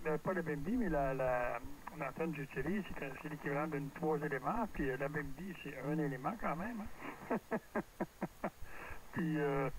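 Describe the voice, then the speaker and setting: Male ham operator, Canadian French accent, slightly high voice, casual, halting delivery, distant on the radio. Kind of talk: casual radio contact chat. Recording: distant radio signal with faint noise.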